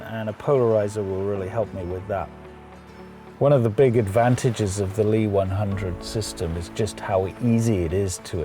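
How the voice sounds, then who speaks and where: A man with a slightly low, dark and relaxed voice narrates an instructional film. He explains his own choices in a measured way, with quiet music underneath. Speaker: photographer narrator.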